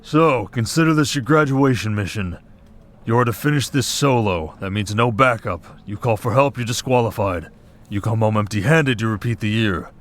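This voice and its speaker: A stern hunter commander, played by a man in an audio roleplay. He speaks in a low register with clipped authority, like a superior briefing a young recruit. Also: normal speed, fairly bright tone, natural voice. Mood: stern, businesslike. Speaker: voice actor. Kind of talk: scripted ASMR roleplay.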